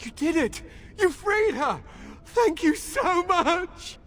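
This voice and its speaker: Animated, anxious village father. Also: very high voice, takes it slowly, very noisy, exaggerated voice. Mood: overjoyed. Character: grateful, anxious village father, protective and tender. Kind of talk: video game character acting.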